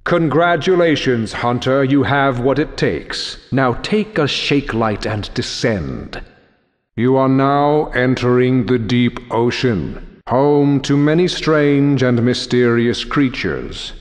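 An ominous aquarium exhibit announcer from a video game played by a man. He speaks slowly with mock-dramatic mystery, and long reverb washes around every phrase. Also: mid-range voice, lightly acted. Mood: ominous, wry. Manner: slow, mysterious, mockingly dramatic. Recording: long cavernous hall reverb.

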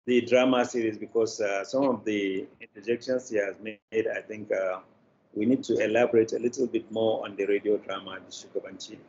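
A man's voice: on the low side, steady tone, a little fast, darkish tone. An older man with a Nigerian accent giving formal, hesitant interview answers as a company director on a video call. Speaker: managing director, interview guest. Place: indoors in an office room on a video call. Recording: dull, faintly noisy video call.

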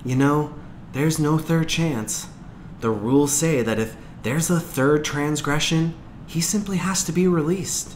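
A man voicing a gentle, caring mother in a storybook, sympathetic and earnest. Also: a little high, measured pace, slight background noise, voice pushed up, lightly acted. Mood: anxious. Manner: soft-hearted, sympathetic, earnest.

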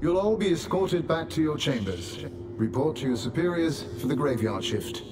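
A man's voice: a little high, big pitch swings, moderate pace. A man acting a stern, coldly dismissive colony leader, authoritative and condescending, in a video game cutscene. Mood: cold, commanding. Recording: clear ambient noise behind.

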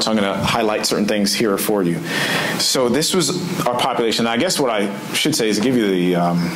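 Male researcher, mid-pitched voice, explanatory conference talk, clear room noise behind. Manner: explanatory, checks in with "okay?". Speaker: researcher presenting a study. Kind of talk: conference presentation with slides. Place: indoor conference room at a lectern. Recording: clearly noisy room recording.

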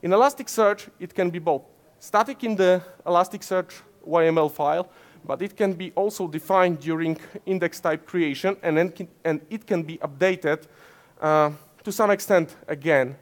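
Polish male speaker, 30s, conference stage, slightly high voice, lots of uhs and restarts. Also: moderate pace. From Poland. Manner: many fillers and self-corrections, gesturing while explaining. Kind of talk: technical conference talk. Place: conference stage in front of slides, indoors.

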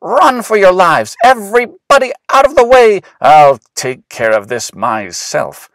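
Guy doing a little storybook boy playing hero, shouty and dramatic, jumps all over in pitch. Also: takes it slowly, no echo. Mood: excited. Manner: excitable, dramatic, calls out boastfully. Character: imaginative young schoolboy full of make-believe.

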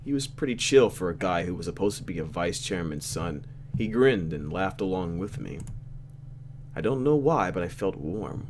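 Man, low voice, very animated, casual game commentary and narration, mock exasperation, faint background hum. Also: moderate pace. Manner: mock-exasperated asides, slangy. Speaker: let's play host reading a visual novel aloud. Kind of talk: casual gameplay commentary and read-aloud narration.

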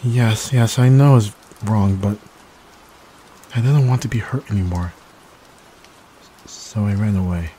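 A man playing a timid, gentle young catboy in an ASMR roleplay, speaking hesitantly with stammers. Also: fairly low pitch, a little slow, pauses often, close to an everyday voice, low-quality recording. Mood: sad, confiding. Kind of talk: soft-spoken ASMR roleplay. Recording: steady rain noise behind voice. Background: rain.

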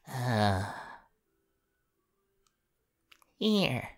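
A woman voicing a gentle, awkward, encouraging young male superhero in an ASMR comfort role-play, speaking haltingly and at times in a whisper. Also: rumbling low, full character voice. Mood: casual, grumbling. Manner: hesitant, halting, partly whispered.

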